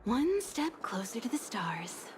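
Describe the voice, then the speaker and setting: Haughty scholar, highish, expressive. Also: female voice, a little slow, soft background music. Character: haughty, cerebral female scholar in a game. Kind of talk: video game battle lines.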